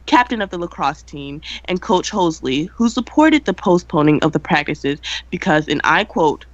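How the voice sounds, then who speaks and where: A woman acts out a prim student news host who cares about the rules. Her voice sits fairly low, with measured, scripted radio-play delivery. The sound is dry and a little muffled. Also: big pitch swings, no echo. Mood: formal newscasting.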